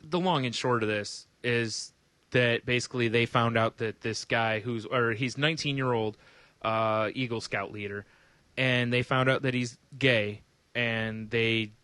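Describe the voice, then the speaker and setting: Male podcast co-host, casual and sarcastic, swears freely, bright forward voice, dry close recording. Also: medium pitch, fairly even intonation, unhurried, clean tone, no echo. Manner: sarcastic, joking, profane. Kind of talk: casual comedic banter, ranting about news.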